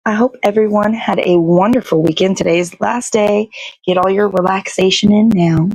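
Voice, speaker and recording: A woman's voice: medium pitch, fairly even intonation, moderate pace, a little mellow, breathy edge, no room sound, poor audio. A woman hosting a morning show with casual, laid-back, rambling chat.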